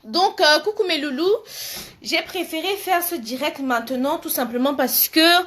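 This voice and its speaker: A woman's voice: sits high, moderate pace, a bit bright. A woman hosting a live stream, chatting casually and familiarly with her viewers and often repeating her words.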